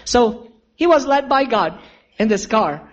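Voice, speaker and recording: A man's voice: extremely high pitch, animated, measured pace, dull audio. A man preaching a sermon, telling a story and calling his listeners friends. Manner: earnest, addresses listeners as friends, asks for amens.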